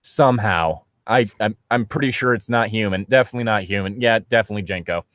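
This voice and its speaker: A man's voice: medium pitch, no echo. A man casually riffing as he reads a story aloud, with a sarcastic, blunt, sweary manner. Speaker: co-host of a comedic fan fiction reading show.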